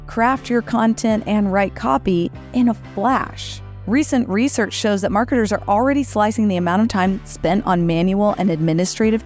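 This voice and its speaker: A woman's voice: mid-range voice, darkish tone. A middle-aged woman co-hosting a podcast in a friendly, informal, conversational way. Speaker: podcast co-host.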